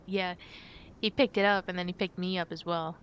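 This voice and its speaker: Playful young girlfriend, acted by a woman, rumbly noise. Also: dull audio. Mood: fond, chatty. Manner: chatty, hesitant pauses, gentle giggles. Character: playful, affectionate girlfriend on a pumpkin-picking outing. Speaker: ASMR roleplay performer. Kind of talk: ASMR girlfriend roleplay, casual one-sided chat.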